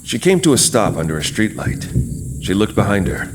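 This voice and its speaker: A man with a low voice reads dramatic horror narration from a script. He serves as the storyteller, and noticeable night-time sound effects sit behind him. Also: sing-song, brisk. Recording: clear background noise, night sound effects.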